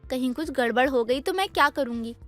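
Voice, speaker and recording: Woman acting high-pitched young daughter-in-law. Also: expressive, fairly quick, a bit bright, soft background music. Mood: anxious, dutiful. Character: young daughter-in-law, dutiful yet defensive. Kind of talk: dramatized Hindi moral story acting.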